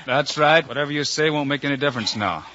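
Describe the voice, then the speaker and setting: A man playing a calm, shrewd, fair-minded lawman with an American accent in an old radio western. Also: fairly high pitch, fast talker. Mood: firm. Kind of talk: 1950s radio western acting. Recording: dull old radio recording.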